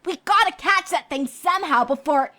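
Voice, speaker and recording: A woman's voice: high voice, expressive, moderate pace, sharp tone, voice pushed up. A woman voicing a feisty, indignant young female pegasus pony in a story reading. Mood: determined.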